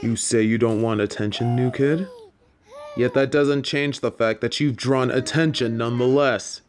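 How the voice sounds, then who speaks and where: A woman's voice: very deep voice, normal speed, darkish tone. A woman playing a stern, suspicious interrogator at a magic school in a fantasy audio roleplay, accusing and skeptical. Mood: mocking, accusing.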